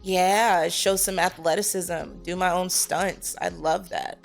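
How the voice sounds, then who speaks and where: American woman, lowish voice, actress chatting casually in an interview, music underneath. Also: steady tone, takes it slowly, creaky. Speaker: film actress being interviewed. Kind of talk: casual conversational interview answers.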